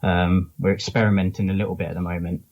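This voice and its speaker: A man's voice: deep voice, level tone, quick pace, darkish tone, highs cut off. A man being interviewed as a guest, talking casually and informally in loose, rambling explanations. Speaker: interview guest, comics charity founder and publisher.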